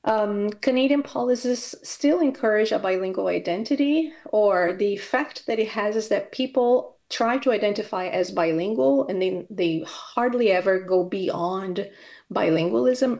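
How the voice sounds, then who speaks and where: A woman's voice: mid-range voice, moderate pace, creaky, darkish tone. Woman from Brazil giving an academic talk. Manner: hesitant, many fillers and restarts. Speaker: university academic speaker. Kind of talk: conference presentation.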